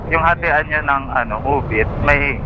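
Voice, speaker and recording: A man's voice: fairly high pitch, moderate pace. A Filipino man chatting casually and politely as a passenger on a motorcycle taxi. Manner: polite, deferential. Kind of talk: casual chat. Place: outdoors, riding pillion on a motorcycle. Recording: muffled intercom, noisy road behind.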